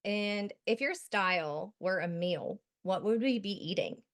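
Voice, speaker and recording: A woman hosts a casual interview and asks quick, playful questions at a middle pitch. She sounds eager and upbeat and laughs often between her lines. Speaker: interview host. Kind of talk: rapid-fire interview questions.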